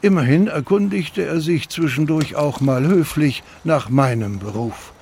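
An actor playing a fussy Parisian landlord who reports as an informant in a radio play, formal, stilted and prim. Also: male voice, a little high, unhurried, a little mellow, a little hiss or hum. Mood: prim, disapproving. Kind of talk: radio play monologue, formal written report read aloud.

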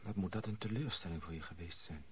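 An actor playing a sympathetic, dry-spoken gentleman with a husky voice in a radio play. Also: low-pitched, quick pace, a little hiss or hum, natural voice. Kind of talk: Dutch radio play acting. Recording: muffled, narrow-band old radio recording.

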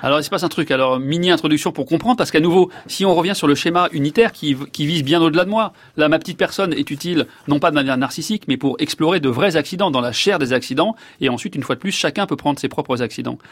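A man's voice: on the high side, fast talker, hardly any pauses, no room sound. Hesitant French man, dry studio sound. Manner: hesitant, many fillers and restarts. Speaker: guest, neurologist and author. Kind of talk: reflective interview answers.

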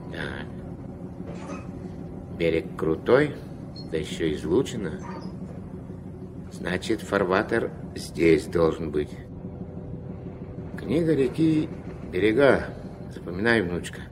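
A noisy old radio-play recording of a stern elderly riverboat skipper. His pitch moves widely as he gives curt commands and grandfatherly lessons. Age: older. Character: gruff, strict old river boat captain and grandfather. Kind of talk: Soviet radio play acting.

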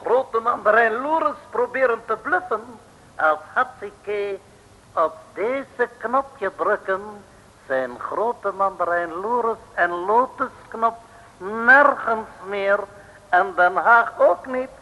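An actor playing a pompous, scheming foreign villain in an old comic radio serial, speaking grandiose, stilted broken Dutch. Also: male voice, high voice, lively intonation, slow, pushed and bright, exaggerated voice. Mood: menacing. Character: pompous, scheming foreign male villain, self-styled prime minister. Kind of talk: 1950s-60s comic radio play acting. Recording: dull old radio recording.

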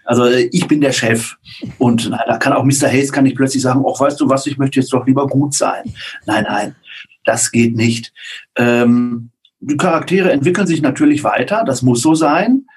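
A man answering interview questions as an author, speaking deliberately and emphatically, with pauses. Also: mid-range voice, breathy edge. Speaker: author and radio-drama writer being interviewed. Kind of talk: conversational interview answers with examples.

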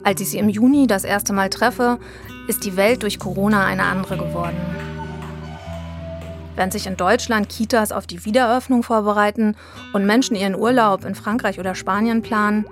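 A woman reporter giving scripted documentary narration in a calm, even, storytelling way. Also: medium pitch, moderate pace, over music. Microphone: studio mic.

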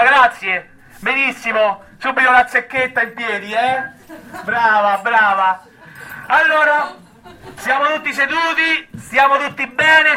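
A man playing a shrill, barking prison guard. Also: sharp tone, exaggerated voice, low-quality recording. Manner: barking orders, sarcastic. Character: bossy, foul-mouthed male prison guard bullying visitors. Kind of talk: immersive stage acting.

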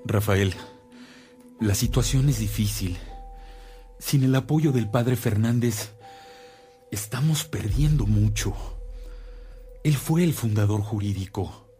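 An actor playing an earnest, caring priest in a radio drama, with a measured, respectful delivery. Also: male voice, fairly low pitch, dark tone, soft background music. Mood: concerned. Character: earnest, caring priest, loyal brother in faith.

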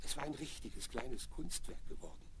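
Storyteller for a kids radio play, gravelly voice, noisy 70s vinyl. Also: male voice, medium pitch, lively intonation, moderate pace, a bit bright. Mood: storytelling. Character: male storyteller of a children's tale. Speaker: narrator. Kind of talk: scripted storytelling narration for a children's radio play. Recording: 1970s vinyl record with noticeable noise.

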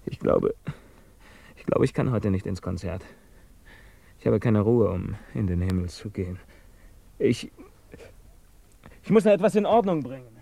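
A man's voice: on the low side, expressive, a little slow, creaky, pauses often, dark tone, no room sound, exaggerated voice. An actor in a German radio drama playing a young male scientist, guilt-ridden and defiant, with an intense, philosophical manner. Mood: wary, restless.

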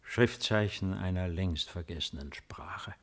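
An actor playing a weary, melancholy old Roman emperor in a solemn, measured 1950s radio drama style. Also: male voice, low voice. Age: older.

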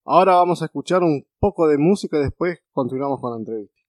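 A man's voice: fairly high pitch, expressive, quick pace, no echo. A man co-hosting a radio show, announcing the next segment. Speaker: radio co-host.

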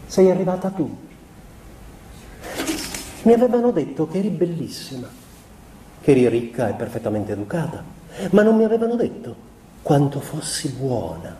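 A man playing a melancholy young musician confessing to his wife in a measured, reflective literary stage monologue. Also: high voice, warm tone, roomy sound, far from the mic, slight background noise. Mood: tender regret. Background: piano music.

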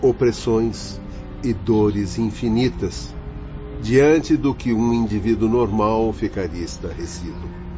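An actor playing a firm, admonishing spirit mentor with emphatic, formal oratory in a radio drama. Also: male voice, fairly low pitch, takes it slowly. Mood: stern, admonishing. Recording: dull lo-fi audio over music.

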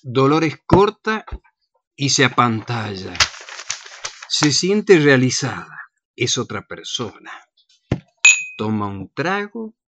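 Animated Argentine male radio narrator, noisy recording. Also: medium pitch, slow pace, long pauses. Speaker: radio co-host and play narrator. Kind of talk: scripted narration and radio presenting.

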